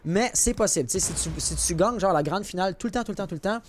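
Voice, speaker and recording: Fast-talking Quebecois man casting esports. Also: high-pitched. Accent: Quebec French. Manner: informal, colloquial, peppered with English gaming slang. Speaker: esports caster. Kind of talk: live match commentary with casual asides to chat. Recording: clear background noise with clicks and gunfire. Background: video game gunfire and clicks.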